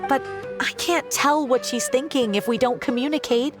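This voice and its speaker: A woman playing a gentle, worried game mother. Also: high voice, moderate pace, over music, natural voice. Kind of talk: scripted video game dialogue.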